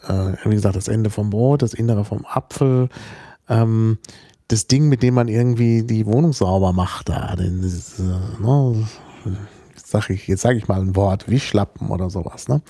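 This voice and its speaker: A man from Hamburg being interviewed about languages, explaining slowly and thoughtfully, with many fillers and restarts. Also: fairly low pitch, warm tone, no echo, clean studio sound. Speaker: linguist guest. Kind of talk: relaxed spoken explanation in an interview.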